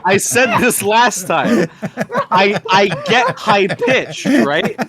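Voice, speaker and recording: A man co-hosting a podcast, flustered and stammering, often breaking into nervous laughter, with a slight rasp when his voice rises. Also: lively intonation, takes it slowly, a crowd in the background. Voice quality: slight raspiness when his voice goes high. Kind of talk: flustered casual chat.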